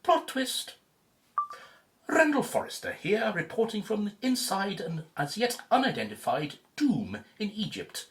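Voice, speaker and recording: An actor in an audio drama playing an excitable, flippant man on an archaeology team, chatty and quick to exclaim. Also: high-pitched, a little hiss or hum, full character voice. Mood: excited, cheeky.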